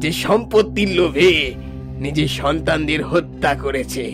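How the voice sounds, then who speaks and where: A man playing a scheming royal brother who plots for the throne in a fairy-tale cartoon, with a deliberate, theatrical delivery. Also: on the high side, over music, lightly acted. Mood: gloating, calculating.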